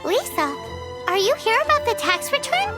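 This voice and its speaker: Childlike fairy sidekick, extremely high pitch, bouncy, exclamatory, video game, prominent music. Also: female voice, sing-song, moderate pace. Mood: chirpy, curious. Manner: refers to self in third person, exclamatory. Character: tiny excitable floating fairy companion, childlike girl.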